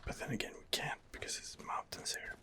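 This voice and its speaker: A man hosting an ASMR game playthrough, muttering hesitant commentary in a breathy whisper. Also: a little fast, sharp tone. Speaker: gaming video host.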